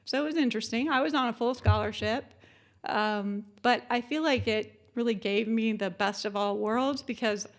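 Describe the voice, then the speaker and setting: A woman's voice: a little high, moderate pace. A woman, giving a conference keynote in a chatty way with many fillers. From born in Japan, military family. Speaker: keynote speaker.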